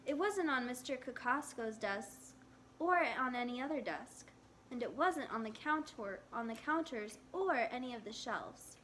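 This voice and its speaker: A gentle young storybook schoolgirl played by a woman reader, speaking earnestly and politely. The voice is high and unhurried, with background noise behind it. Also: animated. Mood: puzzled.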